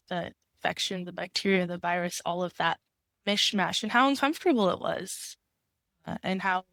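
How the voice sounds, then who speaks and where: A woman in her twenties, raspy from a cold, thinking aloud in a casual conversation. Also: medium pitch, a bit bright, no room sound. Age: 20s.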